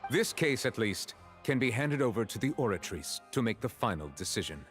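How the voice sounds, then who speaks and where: An actor voicing a stern, formal chief justice who lays out a case in a video game. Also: male voice, medium pitch, sing-song, a bit bright, faint music behind. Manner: measured, formal, deliberate.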